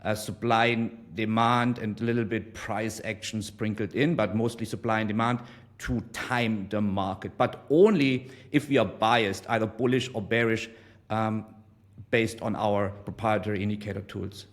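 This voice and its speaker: A man of forty from Germany, explaining his trading as an interview guest, measured and full of ums. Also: on the low side, steady tone. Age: 40s. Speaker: guest trader. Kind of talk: casual interview.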